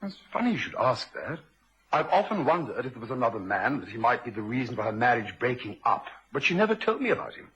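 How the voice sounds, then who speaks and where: Husky, animated man confiding in old radio drama. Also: medium pitch, quick pace, highs cut off, heavily acted. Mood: confiding, reflective. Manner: hesitant, confiding. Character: shaken man, kid-brother confidant of a dead woman. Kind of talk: vintage radio crime drama acting.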